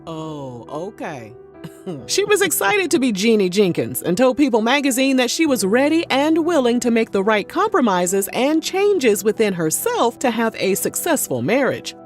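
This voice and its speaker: Woman, gossip narration, sassy asides, fairly high voice, faint music underneath. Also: big pitch swings, moderate pace. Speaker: narrator of a celebrity gossip channel. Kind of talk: scripted voice-over narration with ad-libbed asides.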